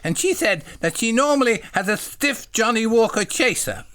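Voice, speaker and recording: A man's voice: extremely high pitch, lively intonation, normal speed, fairly bright tone, pitched above the performer's own voice. A man voicing a simple, eager, kindly fellow in a spoof storybook, earnest and naively polite. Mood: innocently chatty.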